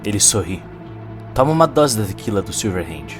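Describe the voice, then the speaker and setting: Low-pitched Brazilian male story narrator. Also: big pitch swings, a little fast. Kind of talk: dramatic scripted story narration. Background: faint music.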